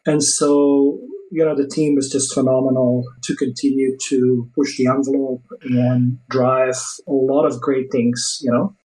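A man's voice: mid-range voice, level tone, a little slow, hardly any pauses, warm tone, poor audio. Belgian man with a Dutch accent. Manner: earnest, enthusiastic, uses "you know" and "right?" often. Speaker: guest, HR executive. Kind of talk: interview conversation.

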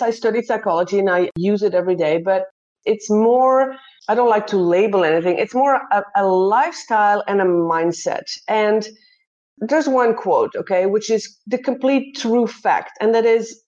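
A woman's voice: medium pitch, normal speed, darkish tone. Upbeat Belgian-raised woman, slightly muffled audio. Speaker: guest, life coach. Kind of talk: casual interview talk.

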